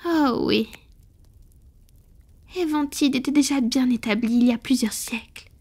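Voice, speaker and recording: A woman voicing a centuries-old female vampire in an intimate scripted roleplay, soft, breathy and confiding, with measured delivery and whispered touches. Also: high-pitched.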